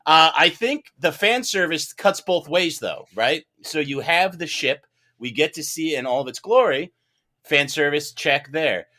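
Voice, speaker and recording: Male podcast panelist, bright forceful voice, talkative and playful, enthusiastic casual debate. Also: fairly high pitch, animated, unhurried. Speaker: podcast judge and juror. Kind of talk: casual film debate, mock courtroom.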